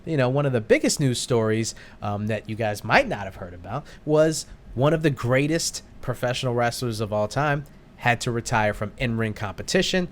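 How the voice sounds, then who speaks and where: Guy co-hosting a beer podcast, rambly, keeps restarting, mid pitch. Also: lively intonation, normal speed. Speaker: podcast co-host. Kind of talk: casual chat over beers.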